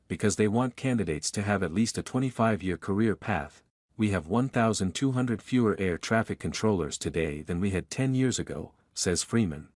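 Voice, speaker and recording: A man reading a scripted news article aloud in a measured, formal manner. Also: fairly low pitch, a little mellow, no echo, studio quality. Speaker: article narrator.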